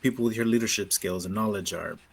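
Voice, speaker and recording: A man reading a composed, persuasive rebel officer with a husky voice in a sci-fi table read. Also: fairly low pitch, fast talker. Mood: earnest, persuasive.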